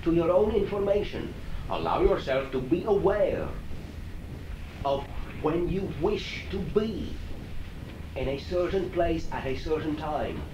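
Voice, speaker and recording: An assured alien teacher channeled by a man speaks slowly, in deliberate, halting phrases. The pitch swings widely and the wording is formal and stilted. It comes from far off on an echoey old cassette. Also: sing-song, muffled recording. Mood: instructive, encouraging. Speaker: channeler answering audience questions. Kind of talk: channeling session, question and answer. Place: echoey room, recorded from afar. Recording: echoey, noisy old cassette, distant mic.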